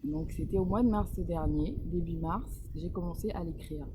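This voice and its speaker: A woman author from Congo-Brazzaville answers interview questions in a hesitant, searching way, often restarting her sentences. Her voice sits a little low for a woman. A clear hiss of background noise runs under the recording. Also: moderate pace. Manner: hesitant, many fillers and restarts. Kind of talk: casual interview. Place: home in northern Portugal.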